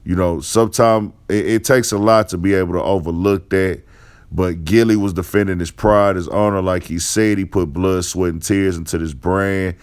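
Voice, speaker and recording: A man's voice: deep voice, steady tone, normal speed, clean tone. A man hosting an online show with casual, off-the-cuff commentary in a slangy, conversational way. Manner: slangy, conversational, repeats "you know".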